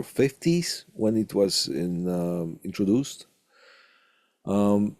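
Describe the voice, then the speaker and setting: A man with a gravelly, husky voice giving an informal recorded lecture as an instructor, halting and hesitant with long pauses, close on a studio condenser mic. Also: deep voice, a little mellow, no echo. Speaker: college instructor teaching audio equipment. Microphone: close studio condenser microphone through tube preamp.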